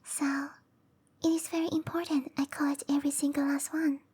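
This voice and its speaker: A woman voicing a shy, gentle, scatterbrained cherry blossom dryad girl in a hesitant, apologetic whisper for roleplay ASMR. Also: high voice, flat delivery, brisk, close to an everyday voice. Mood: gentle, explaining. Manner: hesitant, apologetic, breathy whispering with soft giggles.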